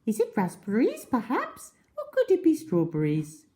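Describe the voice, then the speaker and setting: A sweet, innocent storybook bird. It chirps in a very high singsong, curious and guileless, lilting up and down. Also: female voice, wildly swooping pitch, normal speed, warm tone. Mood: innocently curious.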